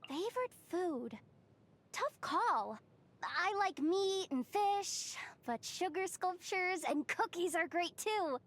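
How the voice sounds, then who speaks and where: Game character, girlish young woman: high lively voice, easygoing, casual, expressive. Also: takes it slowly, exaggerated voice. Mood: cheerful, easygoing. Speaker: video game voice actress. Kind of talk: scripted game character voice lines.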